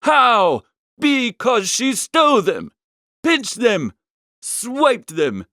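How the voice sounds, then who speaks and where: Man playing a high-pitched, foppish young gentleman. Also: huge pitch swings, very slow, no room sound, studio quality, heavily acted. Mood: smug, emphatic. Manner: slangy, earnest, emphatic. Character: foppish young upper-class gentleman, dithering yet indignant. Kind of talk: comic storybook dialogue.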